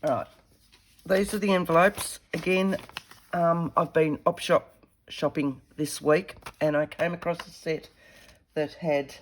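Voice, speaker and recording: A woman, slightly croaky from a head cold, chatting casually as she demonstrates a paper craft while working with her hands. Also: low-pitched, fairly even intonation, unhurried, slight background noise, low-quality recording. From Australia. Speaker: craft tutorial host.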